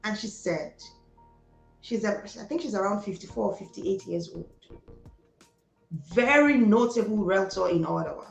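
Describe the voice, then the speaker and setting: A woman's voice: mid-range voice, normal speed, creaky, long pauses, reverberant, faint music behind, rough recording. A woman with a Nigerian Igbo accent, a psychologist speaking as a guest, giving emphatic motivational advice, repeating words for stress and slipping into Pidgin. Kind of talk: motivational conversation.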